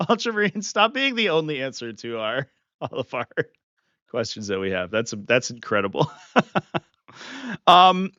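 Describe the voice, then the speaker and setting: A man's voice: mid-range voice, big pitch swings, unhurried, pauses often, bright, forceful tone, no echo. A man hosting a live strategy stream, talking casually and animatedly with chuckles and fillers, sniffly from a runny nose. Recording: slightly muffled, dry sound.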